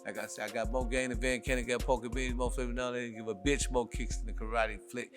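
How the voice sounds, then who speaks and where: A man's voice: mid-range voice, little pitch movement, fast, over music. A man from Milwaukee hosting a podcast, boasting in rhymes and dropping "you know what I'm saying" every few lines. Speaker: podcast host. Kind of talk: boastful casual talk.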